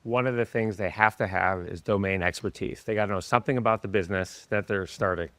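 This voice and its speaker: A man hosting an interview podcast, asking measured, direct questions. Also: lowish voice, fairly bright tone, no echo. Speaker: podcast host. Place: professional studio.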